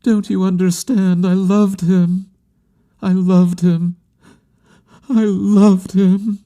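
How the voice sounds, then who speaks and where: Man voicing a grieving, high-pitched devoted woman. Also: flat delivery, slow pace, mellow. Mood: desperate insistence. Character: grieving, devoted woman in mourning, pleading and intense. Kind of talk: dramatic literary audiobook character acting.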